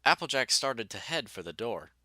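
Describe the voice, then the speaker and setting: A man's voice: mid-range voice, sing-song, moderate pace, pushed and bright, dry recording. A man narrating a scripted story as its narrator.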